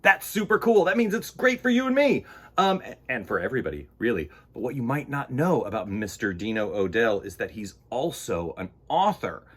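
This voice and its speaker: A man's voice: medium pitch, big pitch swings, a little fast, fairly bright tone, breathy edge. A man hosting storytime, reading a picture book aloud in a dramatic, playful, emphatic way for children. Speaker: children's storytime host and reader.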